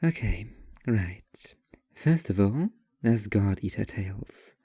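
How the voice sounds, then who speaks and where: Casting: a man playing a pretentious young woman writer for audio fiction, world-weary and curt, low register. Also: lively intonation, takes it slowly, warm tone, slightly rough, no room sound, full character voice. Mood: preachy, disdainful.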